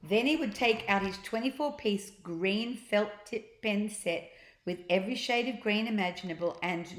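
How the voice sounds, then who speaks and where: A woman's voice: medium pitch, roomy sound, rough recording. A woman reading a children's story aloud expressively, acting out sounds and adding little asides to her listeners. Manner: theatrical, acts out sound effects.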